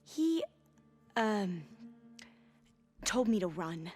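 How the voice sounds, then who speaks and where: An actress playing a nervous, earnest young woman scholar in a video game, speaking haltingly in formal, learned wording. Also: mid-range voice, very animated, darkish tone, faint music behind. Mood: shy, hesitant. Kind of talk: video game cutscene dialogue.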